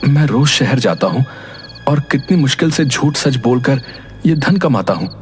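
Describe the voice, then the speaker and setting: A man playing a shrewd, soft-spoken village husband who explains himself earnestly in a cartoon moral story. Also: medium pitch, lively intonation, moderate pace, faint music behind, natural voice. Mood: earnest, persuasive. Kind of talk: animated Hindi moral story acting.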